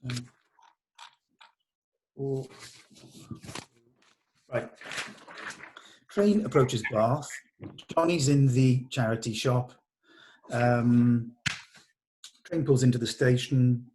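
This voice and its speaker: A man's voice: mid-range voice, drawn-out words, long pauses, noisy background. A man leading a script read, narrating stage directions in an informal, matter-of-fact, slightly hesitant way. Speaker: table read leader and narrator.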